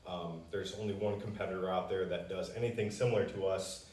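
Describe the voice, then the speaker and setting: Low-voiced American man, echoey room. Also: steady tone, brisk, a little mellow. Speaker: company representative answering customer questions. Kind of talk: casual explanatory Q&A. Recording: roomy echo, distant microphone.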